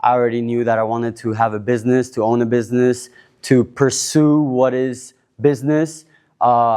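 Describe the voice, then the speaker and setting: A man in his twenties from Cuba, introducing himself on a panel in a relaxed, rambling way with many fillers and restarts. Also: medium pitch. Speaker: panel speaker. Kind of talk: casual self-introduction at a panel.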